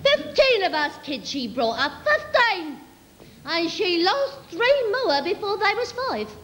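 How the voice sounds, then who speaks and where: A woman's voice: very high-pitched, very animated, a little slow, fairly bright tone, big-room sound, voice pushed up, heavily acted. A woman performing a comic monologue as a sentimental working-class Cockney, rambling and repeating earnestly while reminiscing. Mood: fond, nostalgic.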